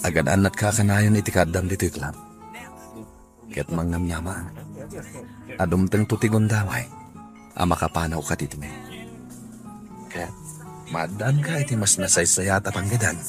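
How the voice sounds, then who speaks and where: A man's voice: sits low, normal speed, long pauses, natural voice. A man acting an earnest, lovestruck young man in a radio drama, with an Ilocano accent and a tender, pleading manner. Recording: radio drama with music underneath.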